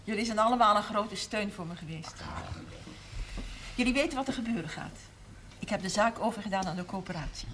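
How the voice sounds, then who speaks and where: An actress playing a middle-aged, emotional but steadfast mother in a 1970s TV drama, speaking in measured, earnest phrases. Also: medium pitch, animated, a bit bright, lightly acted. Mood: calm, resolute. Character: steadfast, emotional mother of a large Limburg family. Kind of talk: 1970s television drama acting. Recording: noisy old TV soundtrack.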